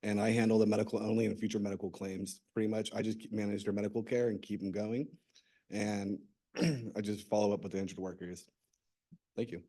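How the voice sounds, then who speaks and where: A man's voice: lowish voice, little pitch movement, brisk, warm tone. A man introducing himself as a claims adjuster, brief and matter-of-fact.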